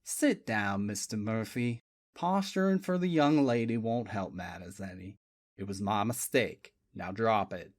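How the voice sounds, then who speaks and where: A man voicing a composed, slow-talking male Southern detective with a Tidewater drawl. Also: lively intonation, dry recording, clean studio sound. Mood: icy. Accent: Tidewater Virginia drawl. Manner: slow, matter-of-fact, low and cool.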